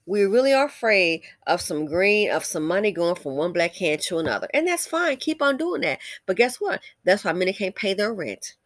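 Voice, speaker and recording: A woman's voice: mid-range voice, sing-song, normal speed, hardly any pauses, dry recording, studio quality. An American woman giving blunt, scolding, opinionated commentary with emphatic conversational asides and rhetorical questions as she reads out comments. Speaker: commentary channel host.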